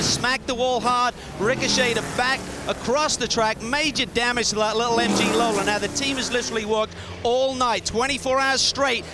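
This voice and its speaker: A man delivering a brisk, dramatic race report from the pits. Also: very high-pitched. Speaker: pit reporter.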